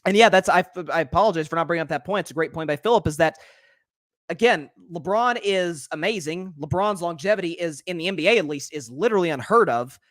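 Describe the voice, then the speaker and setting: A young man hosting a solo sports talk show, talking quickly and animatedly, often repeating words as he builds a point and reading out viewer comments. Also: a little high, fairly bright tone, dry recording, clean studio sound.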